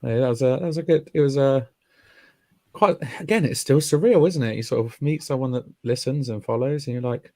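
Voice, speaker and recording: A man hosting a podcast in casual chat, raspy from a chest infection. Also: medium pitch, a little fast, darkish tone, no room sound, clean studio sound. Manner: chatty, friendly, many ums. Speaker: podcast host. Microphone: remote call mic.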